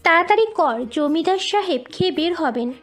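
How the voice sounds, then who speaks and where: Woman as a very high-pitched bossy mistress. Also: lively intonation, soft background music. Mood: impatient, commanding. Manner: commanding, brisk orders. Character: bossy landlord's wife, mistress of the house. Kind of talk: dramatized romantic audio story.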